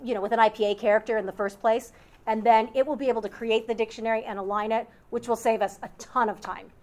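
A woman's voice: fairly high pitch, steady tone, fast talker, a little mellow. A woman presenting at an academic conference, answering audience questions about her research with an upbeat, explanatory manner.

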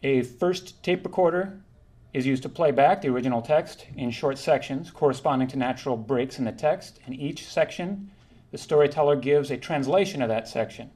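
A man's voice: mid-range voice, darkish tone. Man reading a formal academic talk. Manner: measured, formal delivery with occasional self-corrections. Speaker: linguistics conference presenter.

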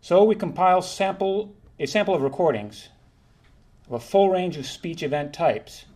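A man presenting a prepared linguistics talk, reading in a measured, formal way and sometimes correcting himself. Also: fairly high pitch, darkish tone. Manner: measured, formal delivery with occasional self-corrections.

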